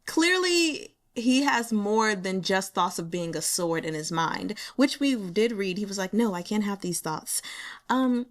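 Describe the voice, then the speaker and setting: A woman's voice: mid-range voice, unhurried. Chatty, giggly woman reacting to a novel. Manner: chatty and animated, laughs often, acts out her own reactions; carefully spells out a Mandarin phrase syllable by syllable. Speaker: audiobook reader sharing chapter notes. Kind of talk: casual chat.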